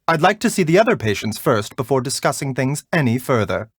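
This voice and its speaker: An actor playing a gentle, composed herbal doctor in a video game, polite and measured in manner. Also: male voice, mid-range voice, very animated, breathy edge, no room sound, clean studio sound. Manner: soft-spoken, polite, measured, bedside courtesy. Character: gentle, courteous, composed male herbal doctor, frail yet unhurried. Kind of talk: video game character voice lines.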